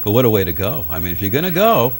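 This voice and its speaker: A man's voice: fairly low pitch, very animated, racing, slight background noise. A man hosting a casual interview in a chatty, folksy way, with short interjections between questions. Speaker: interview host. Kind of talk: casual talk-show interviewing.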